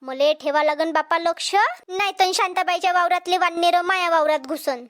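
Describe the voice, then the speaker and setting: A woman's voice: very high-pitched, normal speed, a bit bright. A woman playing a chatty, helpful village farm worker in a cartoon comedy, speaking homely Marathi with a Vidarbha accent. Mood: wary.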